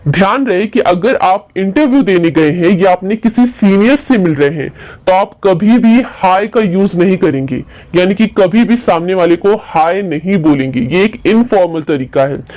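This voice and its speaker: Woman, English teacher, patient, repeats phrases slowly. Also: fairly low pitch, lively intonation, warm tone. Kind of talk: explaining English phrases in Hindi.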